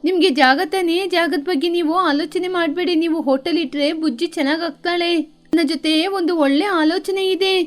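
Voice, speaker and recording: A woman voicing a clever, helpful female bird who gives reassuring, practical advice in an animated children's moral story. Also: very high-pitched, fairly quick, soft background music.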